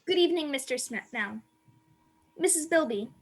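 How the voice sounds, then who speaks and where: A woman's voice: high-pitched, lively intonation, normal speed, slight background noise. A woman playing a timid, meek teenage servant girl in a radio play, speaking deferentially. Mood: timid, polite.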